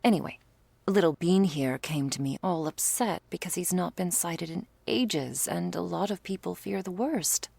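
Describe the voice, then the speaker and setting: A woman's voice: fairly low pitch, normal speed, a little mellow, dry recording. A woman playing a teasing, doting female vampire lover in an intimate ASMR roleplay, with whispery asides and quaint, affectionate wording. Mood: fond, wry. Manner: breathy asides, pet names, quaint turns of phrase.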